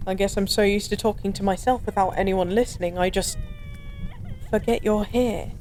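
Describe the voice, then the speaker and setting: A woman's voice: medium pitch, fairly even intonation, normal speed, a little mellow, lightly acted. A woman playing a drunk, melancholy stranger who was once a god, confiding in a wistful, rambling, hesitant way in an intimate audio roleplay. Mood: sheepish, amused. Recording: clear background ambience noise. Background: bar ambience.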